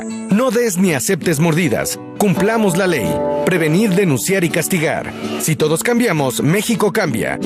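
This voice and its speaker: A man reading a public service ad voice-over in an earnest, emphatic tone. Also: high-pitched. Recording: voice over music.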